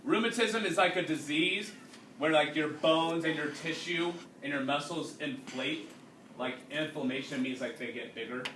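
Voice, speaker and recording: A man reading a storybook aloud to a class as their teacher, pausing to explain and ask questions. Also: on the high side, fairly bright tone. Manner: instructive, checking understanding with questions. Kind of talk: read-aloud with explanatory asides and questions to pupils. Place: indoors, classroom. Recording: roomy, distant mic, faint background noise.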